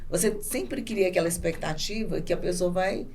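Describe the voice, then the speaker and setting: A middle-aged woman with a Brazilian Portuguese accent answers interview questions conversationally, pausing and restarting as she searches for words. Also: on the low side, darkish tone. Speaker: podcast guest, business owner. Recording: clearly noisy background ambience.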